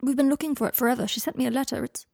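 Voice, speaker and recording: A haughty, snide young man from a storybook reading, all crisp enunciation and disdain, as a woman narrator puts him on. His voice sits slightly higher and sounds mellow rather than bright, with a dry, close sound. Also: racing, no room sound, heavily acted. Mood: urgent.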